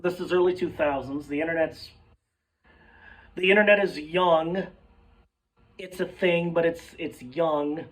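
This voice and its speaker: Hoarse rambling man, a bit off-mic. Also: fairly high pitch, a little slow, pauses often, a bit bright, distant mic, poor audio. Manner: rambling, sidetracked, swears casually, addresses folks. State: hoarse, voice cracking. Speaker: sports fan vlogger. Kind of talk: rambling casual monologue.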